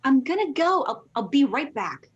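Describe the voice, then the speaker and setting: An actress playing an awkward young traveller, halting in her delivery, in a lo-fi dramatic play reading. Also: high voice, sing-song. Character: awkward young woman traveller, the third wheel. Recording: dull lo-fi livestream audio.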